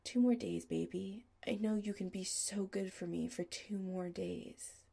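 A woman voicing a dominant, calm man who gives low, quiet, measured orders. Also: vocal fry, husky. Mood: calm, commanding.

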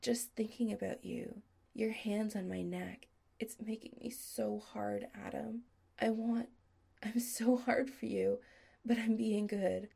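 A woman's voice: mid-range voice, steady tone, slow pace, gravelly, dark tone, husky, heavily acted. A woman voicing a needy young man who pleads in a breathless, whispery voice. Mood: needy, pleading.

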